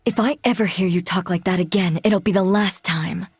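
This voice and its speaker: A woman's voice: lowish voice, steady tone, moderate pace, husky, no room sound, studio quality. A woman playing an earnest young female android machine gunner in a video game, loyal and protective, with a direct way of speaking. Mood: stern. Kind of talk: English video game voice acting.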